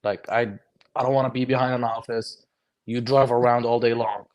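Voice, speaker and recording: A young man in his twenties from Lebanon, a guest chatting casually and telling his story in a relaxed way. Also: mid-range voice, normal speed. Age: 20s. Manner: relaxed storytelling, lots of "bro" and "like", acts out quoted conversations. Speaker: guest, HVAC technician. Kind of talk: casual podcast chat.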